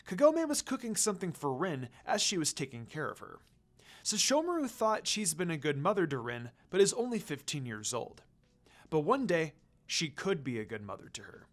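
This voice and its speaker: A woman reading fan fiction aloud as an expressive storyteller. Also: on the low side, moderate pace.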